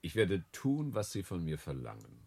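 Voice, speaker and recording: Deep, gravelly, courtly ship's captain. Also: male voice, normal speed, full character voice. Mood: charming, insistent. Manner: flattering, formal, poetic. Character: courtly, smooth-talking ship's captain wooing a woman. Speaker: actor in a radio drama. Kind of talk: radio play acting, lyrical storytelling.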